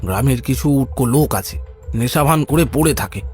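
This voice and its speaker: A man's voice: medium pitch, expressive, a little fast, darkish tone, lightly acted. A man acts a secretive village uncle of middle age in a cartoon story. His mid-range voice admonishes and commands, protective but evasive. Music plays faintly behind. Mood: firm, secretive. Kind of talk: animated audio story dialogue.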